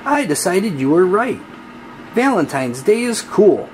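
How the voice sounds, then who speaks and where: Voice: mid-range voice, sing-song, measured pace, a little hiss or hum, voice pushed up. A storybook reader voicing a young male cat who is earnest, exclaiming and easily worried. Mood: excited.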